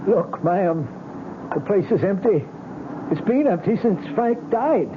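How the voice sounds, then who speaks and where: A working-class cab driver who argues in plain, colloquial talk with a widely swinging pitch. He is exasperated but means well, in old radio drama style on a thin, noisy broadcast. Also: animated, unhurried, phone-quality sound. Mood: alarmed, pleading. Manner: colloquial, plain-spoken, insistent.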